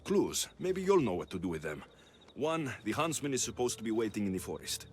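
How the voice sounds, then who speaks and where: Stern warrior, animated pitch. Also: male voice, a little fast. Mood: grave. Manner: terse, earnest, grave. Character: stern, resolute warrior man, guarded and defiant. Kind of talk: video game voice acting.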